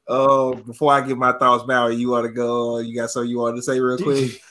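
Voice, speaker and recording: A man co-hosting a sports podcast, walking through his picks in a steady, methodical way. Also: mid-range voice, brisk, a little hiss or hum. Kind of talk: casual sports analysis.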